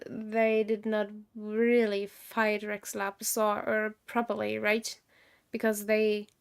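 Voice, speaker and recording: A woman's voice: mid-range voice, clear, resonant tone. A woman doing casual live gameplay commentary, musing aloud and giving her opinions. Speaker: game streamer. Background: game music.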